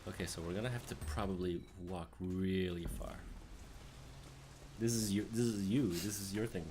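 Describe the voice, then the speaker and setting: Laid-back man with a very deep voice, chuckling guidance over gameplay, faint room noise. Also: moderate pace. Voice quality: very deep today. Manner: laid-back, laughs often, gives directions. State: coughing fits. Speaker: co-host and former monk. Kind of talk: casual gaming chat.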